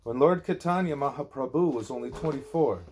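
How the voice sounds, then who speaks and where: A man's voice: mid-range voice, a little fast, darkish tone, slight background noise. Man reading a spiritual discourse aloud. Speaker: audiobook reader.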